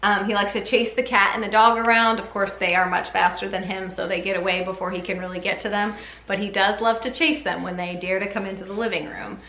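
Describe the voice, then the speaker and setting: A woman's voice: mid-range voice, fairly even intonation, brisk, pushed and bright. A woman giving a casual, chatty vlog update as a mother, sometimes slipping into sing-song baby talk. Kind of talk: casual chat to camera. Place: indoor room at home with ceiling fan. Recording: roomy echo, faint background noise.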